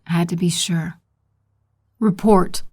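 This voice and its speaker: A woman playing a cold, scheming, commanding villainess in an audio drama, speaking in a measured way. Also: fairly low pitch, fairly even intonation, darkish tone, slightly rough. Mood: cold, conspiratorial. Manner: slow, measured.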